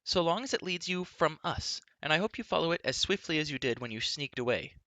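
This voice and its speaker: A man voicing a spirited, sharp-tongued young woman who scolds with quick, mocking retorts. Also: fairly high pitch, animated, no room sound, voice pushed up. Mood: scornful.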